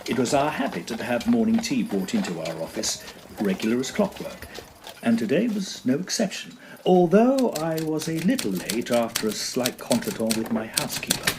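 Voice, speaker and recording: A man's voice: mid-range voice, very animated, moderate pace, background noise, natural voice. A man playing a fussy, genial Edwardian estate agent in a tongue-in-cheek audio drama, speaking in wordy, formal, old-fashioned phrases. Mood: measured storytelling. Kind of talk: tongue-in-cheek period audio drama, first-person storytelling and dialogue.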